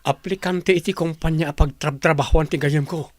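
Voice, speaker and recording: A man's voice: fairly high pitch, fairly even intonation, moderate pace, no echo, lightly acted. A man acting a matter-of-fact friend and colleague in a Filipino radio drama, with an Ilocano accent. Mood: explaining.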